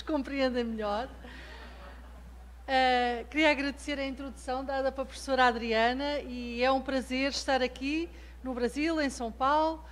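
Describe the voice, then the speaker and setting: A woman from Portugal with a European Portuguese accent, giving an academic keynote lecture in a measured way with frequent hesitant fillers. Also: sits high, a bit bright. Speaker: guest lecturer. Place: lecture hall.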